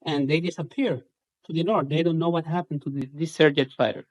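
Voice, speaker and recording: Higher-pitched Puerto Rican man recounting incidents. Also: steady tone, brisk, no room sound, low-quality recording. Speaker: UFO researcher giving a presentation.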